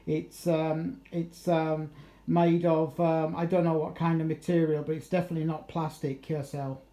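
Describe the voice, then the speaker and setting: A man's voice: fairly high pitch, little pitch movement, moderate pace, warm tone. A middle-aged man working as an amateur radio operator, chatting casually and rambling along with bits of radio jargon. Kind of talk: casual radio contact chat. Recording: roomy, echoey room sound.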